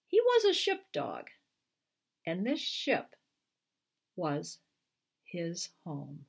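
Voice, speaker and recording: An older American woman, a children's author, speaking to viewers at home in an expressive, unhurried storytelling manner. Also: on the low side, gravelly, slightly rough. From Iowa, United States. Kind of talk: picture book read-aloud and informal talk to viewers. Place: living room at home, indoors. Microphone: webcam at arm's length.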